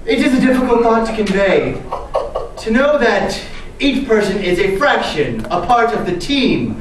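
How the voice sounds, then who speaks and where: A woman's voice: on the high side, big pitch swings, unhurried. Woman playing a solemn camp spirit, echoey and distant. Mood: mock solemn. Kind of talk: mock-Greek theatrical declamation. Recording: distant, very echoey room with noise.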